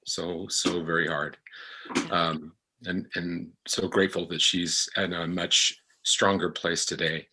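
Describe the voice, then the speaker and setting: A man talking reflectively in a discussion, earnest and often pausing to search for his words. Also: sits low, level tone, pushed and bright, husky, rough recording. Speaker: podcast actor, guest. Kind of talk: reflective discussion of a podcast episode.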